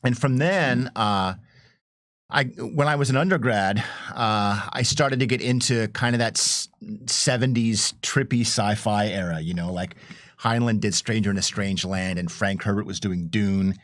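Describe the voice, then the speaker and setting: A middle-aged man reminiscing casually as a panel guest, enthusiastic and colloquial, in halting phrases. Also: fairly low pitch, fairly bright tone, no room sound.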